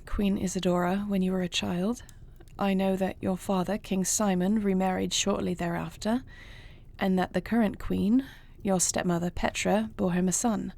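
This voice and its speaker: A woman playing an affectionate, dutiful queen in an intimate fantasy audio roleplay, speaking softly and formally with measured, murmuring diction. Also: mid-range voice, creaky. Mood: weary, composed. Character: affectionate, dutiful dragon queen, newly wed to a princess.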